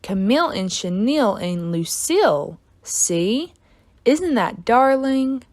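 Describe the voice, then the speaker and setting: Woman playing a prissy little schoolgirl with swooping pitch. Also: big pitch swings, slow, darkish tone, dry recording. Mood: smug, dismissive. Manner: prim, dismissive, affected. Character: prissy, haughty first-grade girl, vain and bossy. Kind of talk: children's storybook read-aloud.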